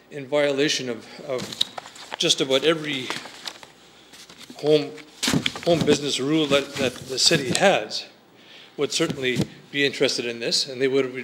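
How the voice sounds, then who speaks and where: A man from Canada speaks unscripted at a council hearing, halting and clearing his throat between points. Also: mid-range voice. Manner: halting, full of fillers and restarts, clears throat. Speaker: resident objecting at a council hearing. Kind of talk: unscripted public comment. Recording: faint noise, council chamber mic.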